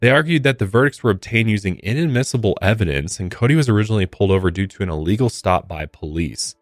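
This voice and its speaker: A man's voice: on the low side, expressive, fast talker, dry recording, studio quality, soft background music. A man with an American accent narrating true crime as a podcast host, with casual commentary mixed in.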